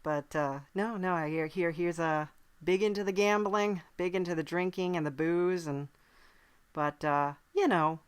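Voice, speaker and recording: A woman hosting a podcast in a casual comedic interview style, playful, with halting, drawn-out thoughts. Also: on the low side, smooth, no room sound. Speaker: podcast host.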